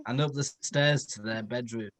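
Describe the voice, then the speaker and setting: A man's voice: medium pitch, moderate pace, a bit bright. A man narrating a story aloud for comedy in a playfully dramatic way. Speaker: comedian reading fan fiction aloud.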